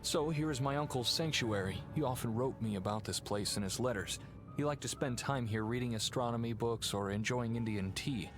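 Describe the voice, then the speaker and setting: Actor as earnest young heir, music behind. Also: male voice, medium pitch, fairly quick, natural voice. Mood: mournful, regretful. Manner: earnest, expository. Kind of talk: video game cutscene acting. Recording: loud music under the voice.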